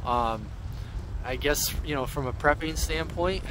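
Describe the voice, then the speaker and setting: A man giving a casual, off-the-cuff how-to explanation outdoors in an informal, rambling, halting way. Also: a little high. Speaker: hobby radio vlogger. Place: outdoors among trees. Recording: outdoor handheld video with background noise.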